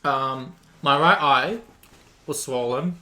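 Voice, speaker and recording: Hoarse young man, bright. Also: medium pitch, slow pace. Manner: rambling, lots of ums, laughs between lines. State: hoarse, losing his voice. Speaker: podcast co-host. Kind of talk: casual chat.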